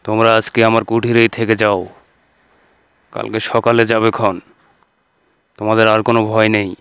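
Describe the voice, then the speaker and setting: A man's voice: on the low side, completely flat delivery, normal speed, a bit bright, smooth, no echo. A man playing a stern, protective holy hermit who admonishes with authority in a children's fairy-tale cartoon.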